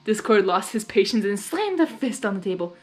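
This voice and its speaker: A woman reading fan fiction aloud with expressive narration and enthusiastic, chatty asides. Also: medium pitch, fairly quick, soft background music. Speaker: fanfiction reader.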